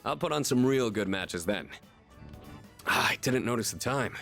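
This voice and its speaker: An actor playing an easygoing, competitive young athlete in a video game, talking in a casual, friendly and earnest way. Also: male voice, mid-range voice, lively intonation, moderate pace, vocal fry, a bit bright, soft background music.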